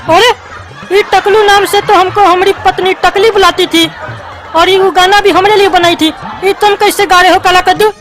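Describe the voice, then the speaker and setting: A woman's voice: very high voice, steady tone, normal speed, fairly bright tone, some chatter behind, low-quality recording. A woman voicing a sentimental, doting widowed father in a comic cartoon, with a Bihari accent and a plaintive, folksy delivery. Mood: delighted, coaxing. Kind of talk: cartoon skit dialogue. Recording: music behind the voice.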